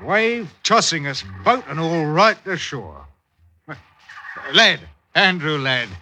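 An actor playing a boisterous, scheming sailor in old-time radio drama, exclaiming theatrically in archaic seafaring dialect. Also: male voice, a little high, animated, slow pace, pushed and bright, heavily acted. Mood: jovial, gushing. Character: boisterous, scheming sailor fawning over a young lad. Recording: old radio recording with noise.

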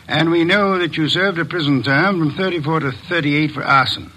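A man's voice: a little high, normal speed, sharp tone, rough recording. An actor playing a shrewd, formal male detective who speaks in a precise, commanding way, in 1940s radio style.

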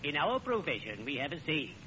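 An actor playing a friendly, formal undertaker with deadpan morbid humor in a 1940s radio comedy. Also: male voice, fairly high pitch, fairly quick. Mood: solemn, deadpan. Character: friendly, ghoulishly genteel male undertaker. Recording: muffled, very noisy old radio broadcast.

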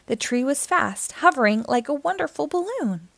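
High-pitched, animated woman reading a storybook. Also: moderate pace, gravelly, no room sound. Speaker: storytime host and reader. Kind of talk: children's picture book read-aloud.

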